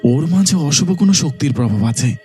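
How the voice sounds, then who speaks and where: A man's voice: a little high, expressive, a little fast, warm tone, faint music behind, natural voice. A man voicing an anxious, earnest young village son who narrates and acts in a horror cartoon. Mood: urgent, pleading. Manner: earnest, pleading.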